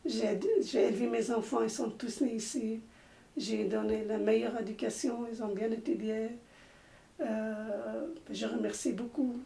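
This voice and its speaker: Older Turkish-born woman, halting, gravelly. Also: on the high side, flat delivery, mellow. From Turkey. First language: Arabic. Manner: hesitant, halting speech with many restarts. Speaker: interviewee, mother. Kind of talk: personal testimony. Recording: echoey room, voice from a distance.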